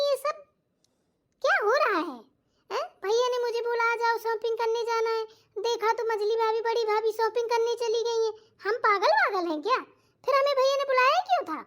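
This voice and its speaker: An extremely high, squeaky cartoon voice for a petulant grown daughter visiting her family, acted by a woman. She whines and complains as the wronged sister-in-law of a family comedy. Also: fairly bright tone. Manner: whiny, aggrieved, complaining.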